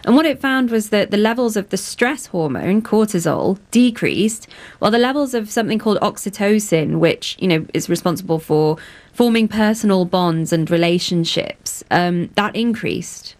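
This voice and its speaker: Mid-pitched woman explaining conversationally. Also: lively intonation, moderate pace, a little mellow. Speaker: journalist guest.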